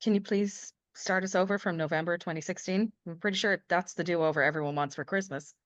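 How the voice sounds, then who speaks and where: A woman's voice: fairly low pitch, fairly even intonation, brisk, natural voice. A woman playing an outspoken, sardonic office worker in a screenplay table read.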